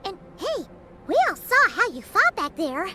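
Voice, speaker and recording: A woman voicing a tiny, cheerful, excitable fairy companion girl in a video game. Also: extremely high pitch, measured pace, heavily acted. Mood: upbeat, admiring. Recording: loud music behind the voice.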